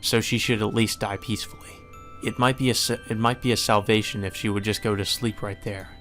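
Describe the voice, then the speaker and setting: A man reading a visual novel aloud as dramatic, solemn and measured narration. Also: lowish voice, little pitch movement, a bit bright. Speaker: narrator, let's play reader. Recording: music behind the voice.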